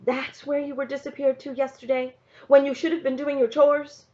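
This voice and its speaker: A woman's voice: high-pitched, flat delivery, normal speed, mellow, voice pushed up, lightly acted. A woman reading the part of a stern, worried country mother in a storybook, with firm, emphatic commands. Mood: stern, scolding. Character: stern, worried rural mother scolding her child. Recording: slightly dull, lo-fi sound.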